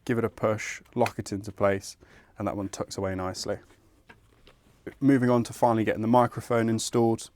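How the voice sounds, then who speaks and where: A man's voice: lowish voice, little pitch movement, fairly quick, a little mellow, a little hiss or hum. A man presenting an installation tutorial, explaining each step in a methodical, informal way. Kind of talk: instructional step-by-step tutorial narration.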